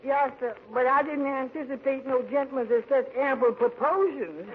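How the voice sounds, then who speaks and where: A man's voice: very high voice, normal speed. A man playing a deferential, folksy, droll train porter in a 1940s radio comedy. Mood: polite, droll. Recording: dull, noisy old radio recording.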